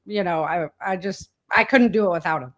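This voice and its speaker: A woman's voice: lowish voice, fairly quick, a bit bright. A woman speaking as a guest in a casual interview, with an earnest and enthusiastic way of talking. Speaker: interview guest, author and audio drama producer.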